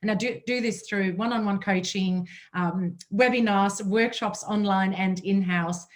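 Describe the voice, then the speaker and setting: A woman's voice: mid-range voice, steady tone, a little slow. German-accented woman presenting a webinar. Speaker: leadership coach, webinar presenter.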